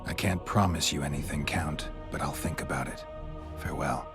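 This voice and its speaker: An actor playing a terse, skeptical monster hunter for hire in a video game, speaking in a gravelly, dry, laconic way. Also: male voice, fairly even intonation, normal speed, music in the background. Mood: curt, guarded. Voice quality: gravelly, very deep. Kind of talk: video game cutscene dialogue.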